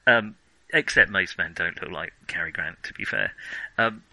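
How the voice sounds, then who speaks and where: Male film podcast co-host: very bright, slightly low voice, casual and wry, frequently self-correcting. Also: moderate pace, no echo, low-quality recording.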